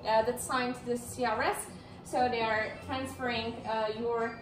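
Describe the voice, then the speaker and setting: Brief: young woman speaker, high voice, chatty with fillers, recorded from afar in a roomy hall. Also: level tone, unhurried, background noise. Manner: chatty, laughs often, many fillers. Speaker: speaker at a meetup. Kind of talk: casual presentation talk. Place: large indoor room with projector screen. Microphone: far camera, no visible mic. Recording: echoey room audio from far off.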